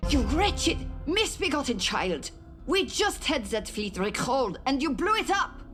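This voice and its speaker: High, wildly swooping haughty villainess. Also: female voice, sing-song, unhurried, music in the background. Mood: outraged. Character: haughty, theatrical villainous noblewoman. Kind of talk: scripted video game villain acting.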